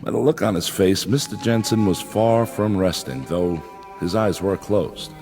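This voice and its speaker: A man playing a rational psychiatrist in a drama, speaking in a deliberate, explanatory and emphatic way. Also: low voice, over music. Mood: pensive, concerned. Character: measured, rational male psychiatrist reasoning with patient. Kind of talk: dramatic dialogue and first-person narration.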